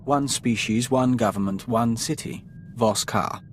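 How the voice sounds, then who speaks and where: A man's voice: medium pitch, unhurried, a little hiss or hum, natural voice. A man playing a formal intelligence analyst in a video game briefing, precise, clipped and matter-of-fact. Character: crisp, formal male Imperial intelligence analyst.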